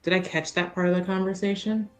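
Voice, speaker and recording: A woman playing a tabletop roleplaying game, talking casually in a deliberate, wry way with pauses. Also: medium pitch, steady tone.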